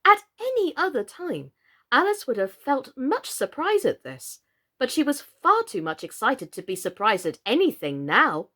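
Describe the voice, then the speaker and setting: Female storyteller, high voice with big swings in pitch, expressive narration for children. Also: normal speed. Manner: theatrical, expressive. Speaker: storyteller, actress.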